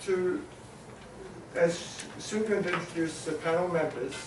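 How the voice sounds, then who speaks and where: A man from New Zealand moderating a conference panel discussion, summarizing points with many pauses and filler sounds. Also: on the high side, noisy background. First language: Maori. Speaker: panel facilitator. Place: conference hall. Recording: echoey hall, mic at a distance.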